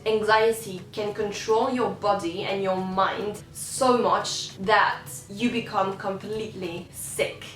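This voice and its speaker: A woman's voice: medium pitch, steady tone, slow, hardly any pauses, a bit bright. A young woman and singer, speaking English as a second language, earnestly telling a personal story to her viewers. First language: not English. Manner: earnest, open and confessional. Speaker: singer and vlogger. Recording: roomy sound, slightly distant from the mic.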